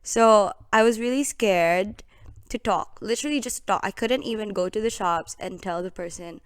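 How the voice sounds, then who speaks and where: A woman's voice: mid-range voice, normal speed, smooth. A young woman from the Philippines with a Filipino accent, a podcast guest telling stories in casual chat. She giggles often, talks with animation and keeps saying "literally" and "like".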